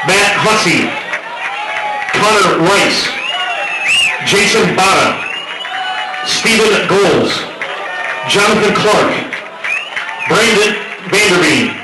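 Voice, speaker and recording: A man's voice: high-pitched, far from the mic. An American man working as a stadium public-address announcer, reading formal introductions in a projected voice and stretching out names for emphasis. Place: outdoor stadium at night, over loudspeakers. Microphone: PA microphone, picked up from far off. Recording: echoey stadium PA from afar, crowd noise. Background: crowd and stadium noise.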